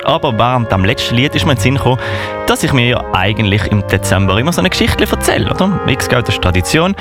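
Animated Swiss German male radio host. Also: medium pitch, fast talker, music in the background. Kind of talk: informal youth radio presenting. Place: radio studio.

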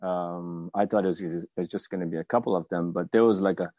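Low-pitched middle-aged man, halting. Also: steady tone, warm tone, no echo, lo-fi sound. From Afghanistan. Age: 50s. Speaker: guest, martial arts teacher. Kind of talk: personal storytelling in an interview.

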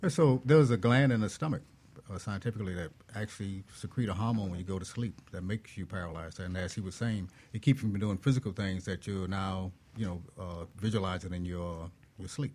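A man with a low voice talks quickly as a show guest. He explains things in a conversational style. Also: darkish tone.

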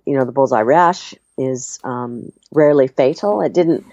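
Guest, woman from Canada, accent blended with Australian, chatty and lively, muffled dry call audio. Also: extremely low pitch, normal speed, no room sound. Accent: Canadian with Australian tinge. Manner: chatty, lively storytelling with fillers. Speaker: interview guest. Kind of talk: casual conversational interview.

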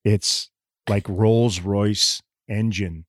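A man's voice: lowish voice, steady tone, drawn-out words, no room sound, studio quality. A middle-aged man hosting a podcast, teasing his guests and stumbling over words. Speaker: podcast host. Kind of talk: casual podcast chat.

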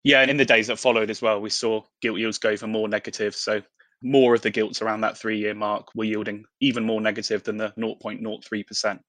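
A man's voice: lowish voice, level tone, fairly quick, fairly bright tone, dry recording. A man speaking as an expert interview guest, explaining things conversationally. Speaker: investment professional, interview guest.

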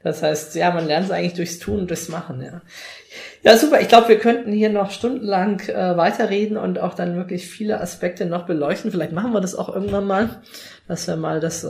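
A man's voice: high-pitched, gravelly, darkish tone. A man hosting a conversational podcast, sharing a short anecdote and then prompting his guest for closing advice. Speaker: podcast host. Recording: roomy echoey room.

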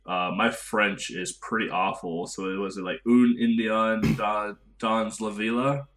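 A man's voice: fairly low pitch, steady tone, a little slow, fairly bright tone, slight background noise. An American man co-hosting a podcast, chatting casually with lots of fillers and self-deprecating jokes. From United States. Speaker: podcast co-host.

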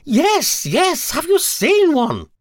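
Man doing a shrill vain witch. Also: huge pitch swings, takes it slowly, no echo, clean studio sound, full character voice. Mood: bossy. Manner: shrill, bossy, dramatic squealing. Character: vain shrieking female witch, fussy and haughty. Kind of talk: storybook character voice.

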